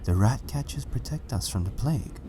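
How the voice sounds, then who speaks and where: A man's voice: medium pitch, expressive, fairly quick, pitched above the performer's own voice, natural voice. Man as a soothing pegasus mother, noisy recording. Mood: earnest, encouraging. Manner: soothing, earnest, encouraging. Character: gentle, reassuring pegasus mare, a proud mother.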